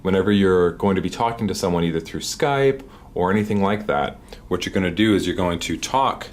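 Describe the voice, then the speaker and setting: A man with a low voice presents an informal product tutorial. He explains each step directly to the viewer in a conversational way. The recording has noticeable ambient noise behind him. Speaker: product demonstrator.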